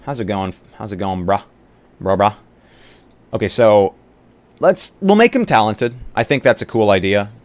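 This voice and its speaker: Low voiced guy streaming a tabletop rpg, chatting casually, pitch bounces around a lot. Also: expressive, moderate pace, pauses often. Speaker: streamer and roleplay player. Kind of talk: casual live chat while building a game character.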